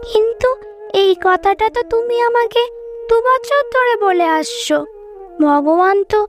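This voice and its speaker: A woman's voice: very high-pitched, lively intonation, measured pace, faint music behind. A woman voicing a young married bird wife in a children's cartoon, wistful and pleading, with a childlike manner. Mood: impatient pleading.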